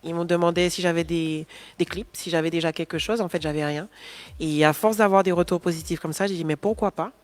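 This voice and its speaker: A woman's voice: lowish voice, steady tone, a little fast, no room sound. A woman, a guest singer answering interview questions in a studio, talking with many fillers and restarts. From Guadeloupe. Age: 20s. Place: pop-up studio.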